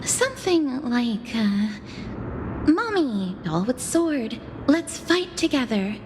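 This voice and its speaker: A woman playing a gentle, caring young healer in a video game, polite and patient. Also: sits high, big pitch swings, slow pace, noisy background, full character voice. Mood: kind, calm. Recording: game music behind.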